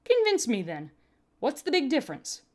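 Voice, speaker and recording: Woman voicing a gruff, emphatic, weary medic. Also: high voice, wildly swooping pitch, moderate pace, darkish tone, voice pushed up. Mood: challenging. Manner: blunt, emphatic, sweary.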